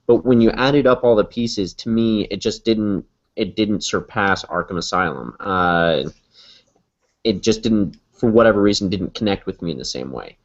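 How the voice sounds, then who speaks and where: A man co-hosting a casual podcast, measured and hesitant, with many fillers. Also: lowish voice. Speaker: podcast co-host. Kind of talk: casual chat. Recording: lo-fi podcast audio.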